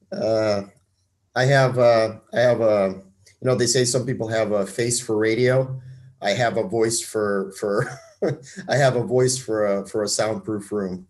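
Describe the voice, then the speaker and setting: A man with a fairly low voice hosts an online meetup over a video call. He talks in a loose, casual way, joking at his own expense and filling pauses with ums and uhs. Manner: relaxed, self-deprecating, many fillers. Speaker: meetup host.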